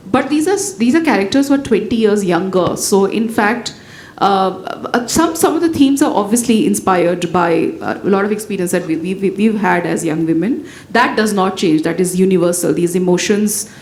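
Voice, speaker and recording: A woman's voice: moderate pace, darkish tone. A woman writer whose first language is Bhojpuri answers questions on a panel, thoughtful and full of fillers and restarts. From India. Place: event hall with audience. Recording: echoey hall, faint rumble.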